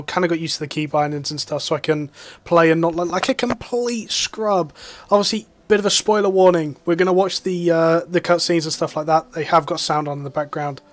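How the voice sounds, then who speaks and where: A man giving casual, rambling gameplay commentary as a channel host. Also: a little high. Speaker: gaming youtuber.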